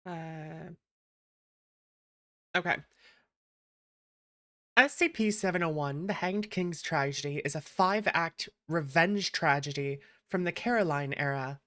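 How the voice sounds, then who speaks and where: A woman's voice: deep voice, slow pace, pauses often, pushed and bright. A woman giving casual, sweary, reacting commentary as she streams a video game. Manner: informal, sweary. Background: game music.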